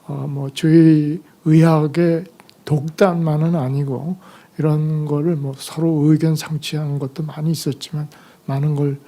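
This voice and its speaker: An older man lecturing at an academic conference, his voice hoarse from a cold. The tone is mellow and dark. He moves haltingly, pausing on fillers as he gathers each thought. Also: fairly high pitch. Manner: halting, slow delivery with many fillers. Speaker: retired medical professor giving a conference lecture.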